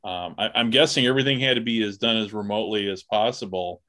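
A man hosting a podcast interview in a casual, halting way, thinking aloud as he talks. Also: lowish voice, bright, forceful tone. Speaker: podcast host interviewing guests.

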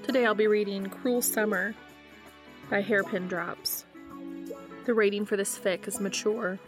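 A woman hosting a podcast, reading fan fiction aloud in a steady, even storytelling manner. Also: mid-range voice, measured pace, over music. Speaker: podcast host and reader.

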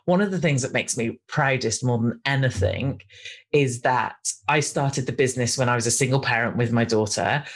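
A woman's voice: very deep voice, normal speed. A woman hosting a casual podcast interview, earnest and effusive as she talks with her guest. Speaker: podcast host.

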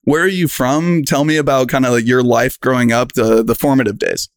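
A man's voice: mid-range voice, fairly even intonation, brisk, dry recording. A man hosting a podcast interview, asking loose, rambling questions in a casual chatty way. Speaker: podcast host.

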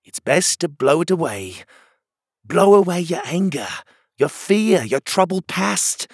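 A man's voice: sits high, big pitch swings, a little slow, husky, no room sound, studio quality, voice pushed up, heavily acted. A man voicing a wise, earnest turtle hero who gives advice in a children's storybook.

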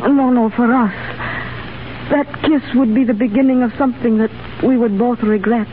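A woman's voice: fairly high pitch, steady tone, normal speed, slight background noise, lightly acted. An actress playing an earnest, guarded Russian woman torn between love and duty in 1930s radio drama, speaking formally and carefully. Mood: troubled, tender. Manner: formal, careful diction without contractions. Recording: tinny 1930s radio broadcast with music.

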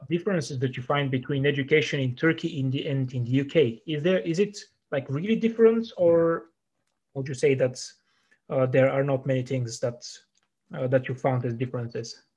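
A man's voice: mid-range voice, fairly quick, darkish tone, husky, lo-fi sound. A man hosting an interview, who asks questions in a friendly, hesitant way with many fillers. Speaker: interviewer, podcast host.

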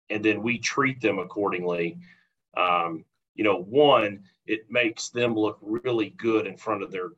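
American man, lowish forceful voice, agreeable explainer in a business chat, muffled recording. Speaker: college athletics sponsorship professional. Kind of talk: conversational professional discussion. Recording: dull, lo-fi audio.

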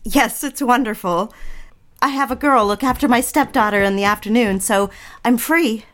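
An actress playing a fragile, eager young mid-century housewife in an audio drama, confiding in a theatrical, genteel way. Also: female voice, on the high side, normal speed, fairly bright tone, lightly acted. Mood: nervous, eager. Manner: theatrical, confiding, genteel, old-fashioned phrasing. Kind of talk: dramatic scripted audio drama narration and dialogue.

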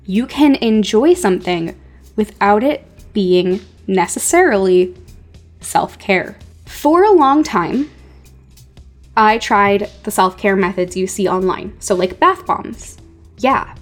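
A woman hosting a podcast, delivering a scripted but conversational monologue of advice with wry emphasis and deliberate repetition. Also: mid-range voice. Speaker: podcast host giving advice to creators.

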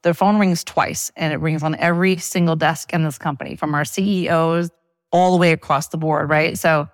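An interview host sharing their own business story and asking follow-up questions with quick praise. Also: medium pitch, fairly even intonation, no room sound. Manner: enthusiastic, quick to praise and laugh along. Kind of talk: casual interview chat.

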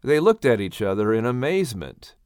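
An animated male storyteller narrates for children in a mid-pitched voice. His delivery swings expressively through the phrases, captured in a dry, close recording. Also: fairly quick, clean tone, no room sound. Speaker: audiobook narrator.

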